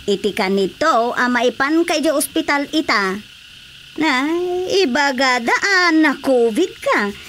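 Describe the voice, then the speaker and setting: Actress as high-voiced, scolding village woman. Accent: northern Philippine. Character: outspoken village woman, nagging and concerned. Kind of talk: radio drama comedy acting. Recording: faint cricket-like background noise.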